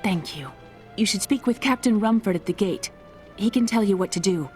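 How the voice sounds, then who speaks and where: A woman's voice: mid-range voice, animated, normal speed, a little mellow, faint music behind, natural voice. An actress playing an earnest young woman who survived an attack, speaking plainly and steadily in a video game. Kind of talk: video game dialogue voice acting.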